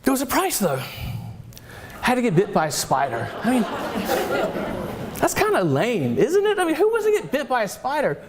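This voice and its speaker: A man with a Southern US accent giving an energetic, animated motivational keynote on a conference stage, joking with the audience. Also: very high-pitched, moderate pace, long pauses, background noise. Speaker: keynote speaker. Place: conference hall, speaking on stage.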